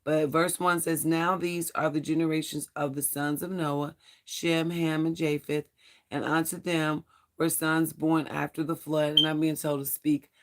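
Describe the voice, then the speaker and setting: A hoarse Bible study host reading scripture slowly and with care. The voice is gravelly and frayed, and the host pauses and stumbles over the ancient names. Earnest spiritual asides come between the verses. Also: mid-range voice, flat delivery. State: hoarse, losing voice.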